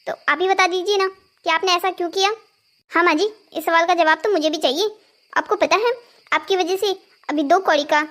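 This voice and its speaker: A woman's voice: very high-pitched, a bit bright. A woman voicing a young, complaining daughter-in-law in a melodramatic Hindi cartoon family drama. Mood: confronting.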